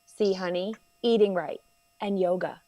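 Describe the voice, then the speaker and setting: An actress playing a caring, nagging middle-aged mother with a creaky voice in a radio drama. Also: fairly low pitch, a little slow, mellow, dry recording. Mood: friendly, teasing.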